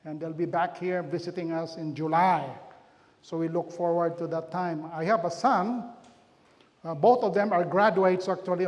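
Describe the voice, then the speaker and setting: A middle-aged man with a Filipino accent speaks in a pitched-up voice to a community gathering. He tells family stories in a relaxed, self-deprecating way. Long reverb from the church hall trails behind him. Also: muffled recording. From Philippines. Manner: chatty, self-deprecating, loose storytelling with asides. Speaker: pastor giving a health talk. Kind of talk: community health presentation.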